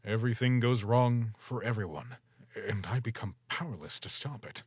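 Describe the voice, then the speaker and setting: A man's voice: moderate pace, breathy edge, no echo, clean studio sound, natural voice. A man voicing a weary, laid-back male skeleton who talks casually in a comic dub. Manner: low-key, casual slang.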